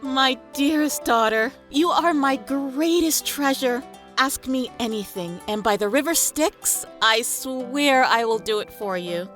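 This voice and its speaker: A woman's voice: sits high, lively intonation, a little slow, a bit bright, faint music behind. A woman playing a doting, gracious mother goddess of the harvest in an audio drama, tender and solemn. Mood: adoring, solemn.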